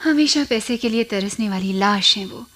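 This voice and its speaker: A woman playing a despairing young married woman in a radio play, sorrowful, bitter and halting. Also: medium pitch, a bit bright, natural voice. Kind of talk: Hindi radio play acting.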